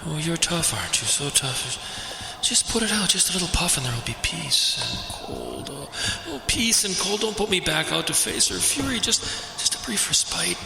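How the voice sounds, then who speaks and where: An actor playing a plaintive, sly male spirit of the cold in an audio drama, with drawn-out, sighing, theatrical delivery that sometimes drops to a whisper. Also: a little high, very animated. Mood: wheedling, pleading. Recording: roomy sound with clear background noise.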